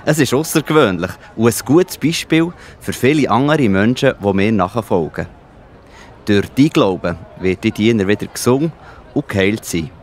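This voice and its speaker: A man's voice: lowish voice, lively intonation, normal speed, natural voice. A man playing a kind, encouraging healer in a children's audio play. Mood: impressed, encouraging. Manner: kindly, encouraging.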